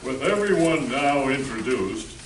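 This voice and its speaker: A man's voice: mid-range voice, big pitch swings, unhurried. A man acting as announcer, reading a scripted stage introduction. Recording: echoey room from far off, clear noise.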